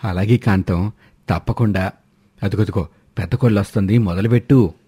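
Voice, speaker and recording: A man's voice: lowish voice, normal speed, warm tone, breathy edge, dry recording, studio quality. A man voicing an elderly father-in-law, a prodding, conniving husband in a cartoon moral story.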